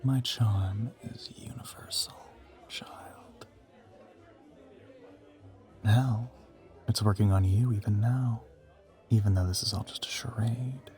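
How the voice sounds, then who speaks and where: A man's voice: takes it slowly, long pauses, darkish tone, soft background music. A man playing an ancient, suave male vampire in an intimate audio roleplay, teasing and smug, with formal, theatrical phrasing. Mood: seductive teasing. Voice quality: low, breathy half-whisper.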